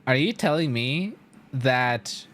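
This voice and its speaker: A young man live-streaming a game, chatting casually with lots of slang, swearing and animated reactions. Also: mid-range voice, slow pace.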